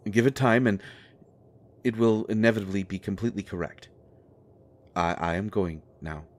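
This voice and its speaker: Man acting low male voice, pompous eccentric, cryptic prophet, halting, theatrical, fantasy audio drama. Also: lightly acted. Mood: awkward, self-assured.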